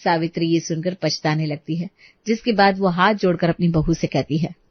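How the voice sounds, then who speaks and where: A woman's voice: fairly low pitch, moderate pace, no echo, dull audio. A woman storyteller reading a scripted moral tale as narration.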